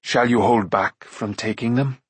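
A man's voice: medium pitch, fairly even intonation, unhurried, breathy edge, no echo. A man voicing a proud, wrathful warrior prince in an audiobook, with a harsh, cold, ringing and commanding voice. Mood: stern, defiant. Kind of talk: audiobook character voice.

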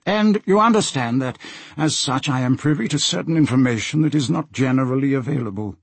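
A man's voice: mid-range voice, brisk, gravelly, slightly rough, dry recording. Man as an intense, urgent, formal diplomat. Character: tall, intense, impatient male diplomat on secret mission.

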